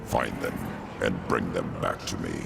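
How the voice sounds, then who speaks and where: An actor voicing a stern, weary ancient judge of lost souls, with a gravelly, very deep voice. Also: male voice, measured pace, noisy background, heavily acted. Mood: solemn. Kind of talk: game cutscene voice acting.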